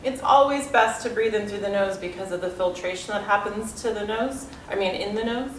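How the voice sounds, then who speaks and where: A woman in her thirties guiding a yoga class in a calm, unhurried way, sounding a little congested and nasal. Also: mid-range voice, slight background noise. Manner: calm, unhurried instruction with soft trailing phrases. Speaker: yoga teacher. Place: room with yoga mat, indoors. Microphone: far camera. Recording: echoey room, mic at a distance.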